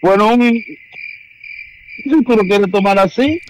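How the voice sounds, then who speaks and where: A man's voice: high voice, takes it slowly, a little hiss or hum. A man talking casually and informally on a phone call, explaining himself in repeated phrases. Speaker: prank call victim, ordinary night-shift worker.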